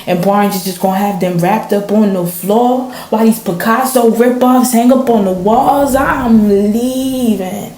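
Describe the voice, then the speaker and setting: A woman playing a fed-up, laid-back waiter with an artistic streak in a radio play, speaking in a drawn-out, colloquial way. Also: medium pitch, steady tone, darkish tone, natural voice. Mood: fed-up, defiant. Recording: roomy, echoey, faint noise.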